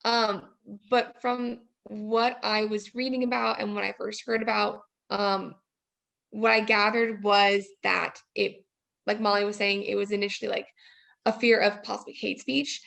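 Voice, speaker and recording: Creaky-voiced young woman. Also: medium pitch, level tone, measured pace, fairly bright tone. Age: 20s. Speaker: student teacher guest. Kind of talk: casual discussion.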